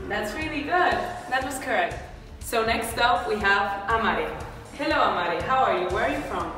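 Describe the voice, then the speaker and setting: A woman's voice: fairly high pitch, animated, normal speed, bright, forceful tone. A woman playing an upbeat reporter in a scripted mock news interview. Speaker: student playing a survey reporter. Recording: echoey hall from far off, music behind.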